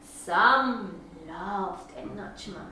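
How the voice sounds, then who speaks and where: A woman reading a picture book aloud to children as a storyteller, with deliberate, dramatic phrasing and expressive pauses. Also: medium pitch. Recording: very echoey room, distant mic, faint noise.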